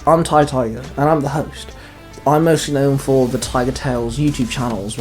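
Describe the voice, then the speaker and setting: A man's voice: mid-range voice, a little mellow. A man speaking casually as a podcast host, making a promotional announcement. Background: music.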